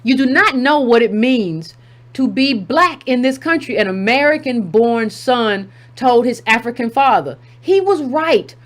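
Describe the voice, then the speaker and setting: Emphatic woman reading aloud, fairly high voice. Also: fairly bright tone. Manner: emphatic, repeats key phrases for effect. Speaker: commentary channel host. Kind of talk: reading a text aloud with running commentary.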